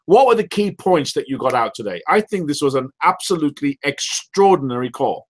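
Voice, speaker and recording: A man with a loud, high-pitched voice leads a team call. He hammers his points with repetition and rhetorical questions. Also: sing-song, fairly quick, husky. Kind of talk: motivational sales call. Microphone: call audio.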